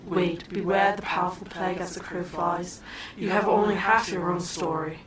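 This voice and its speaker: A woman voicing an entranced female fortune teller, intoning a prophecy in a deep, layered voice, heard from a distance over loud crowd noise. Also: fairly even intonation, moderate pace, natural voice. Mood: ominous. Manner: ominous, intoning.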